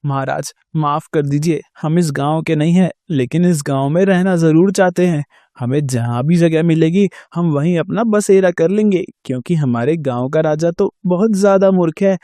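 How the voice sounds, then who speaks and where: A man's voice: a little high, moderate pace, dark tone, no echo, studio quality, natural voice. A man playing a humble, weary migrant villager in a Hindi cartoon moral story. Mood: pleading.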